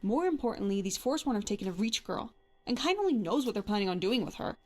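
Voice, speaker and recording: A woman's voice: medium pitch, sing-song, fast, darkish tone. A woman playing a tough, sardonic but caring adventuring companion in a fantasy video game. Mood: worried, earnest. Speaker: voiced follower in a game mod.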